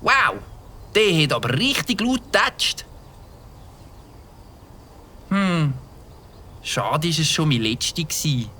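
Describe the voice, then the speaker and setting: A man playing an eager, mischievous young boy with a Swiss German accent, excitably thinking aloud in a children's audio drama. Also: fairly high pitch, slow, long pauses, a little hiss or hum, exaggerated voice. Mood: wistful. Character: Mike, eager mischievous young boy who loves firecrackers.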